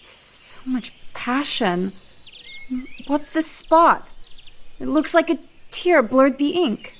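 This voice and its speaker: An earnest young soldier acted by a woman in a radio play. The voice is distinctly high and full of feeling. The character sounds lovesick and sincere. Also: lively intonation, slow, slight background noise, heavily acted. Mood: anguished, earnest. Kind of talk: radio theatre acting.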